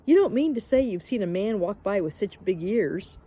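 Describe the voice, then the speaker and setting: A woman's voice: medium pitch, big pitch swings, normal speed, dark tone, husky. A woman voicing an earnest, emphatic little girl in a storybook, eager and easily exasperated. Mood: cross, amazed.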